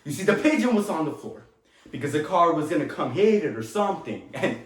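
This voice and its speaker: A chatty immigrant dad with a Latin American Spanish accent in an echoey room. His slightly high voice swoops expressively as he rambles and halts through fond stage-monologue reminiscence. He is tender and talkative, a native Spanish speaker. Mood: fond, proud. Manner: animated, rambling, halting, with small chuckles. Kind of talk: dramatic monologue, stage acting.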